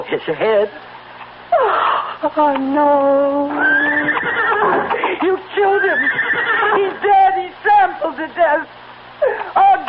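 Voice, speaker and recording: An actress playing an anxious, devoted horse owner in a radio drama, earnest and emotional. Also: female voice, high-pitched. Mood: anguished. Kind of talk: radio drama acting and first-person narration. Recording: muffled, noisy old radio recording.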